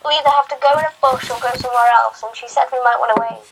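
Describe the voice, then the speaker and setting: A woman's voice: high voice, steady tone, very fast, creaky, background noise. A teenage girl chats on a podcast, arguing back defensively. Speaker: co-host. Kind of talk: casual chat.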